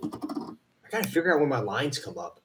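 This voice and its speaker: Guy rambling casually, pitch jumps all over, kinda roomy mic, puts himself down. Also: sing-song, normal speed, background noise. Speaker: amateur voice actor in a comic dub stream. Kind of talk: casual chat before a livestream. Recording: roomy, echoey home recording.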